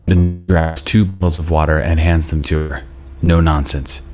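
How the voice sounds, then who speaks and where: A low-pitched man in his thirties reads stage directions from a script. His delivery is scripted narration for a table read, recorded on a video call at home. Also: fairly even intonation, moderate pace, a little mellow, faint music behind.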